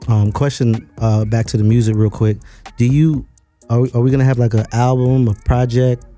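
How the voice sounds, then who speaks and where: A man co-hosting an interview, relaxed and slangy in his questions. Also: mid-range voice, normal speed, soft background music. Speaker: co-host. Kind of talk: casual interview chat.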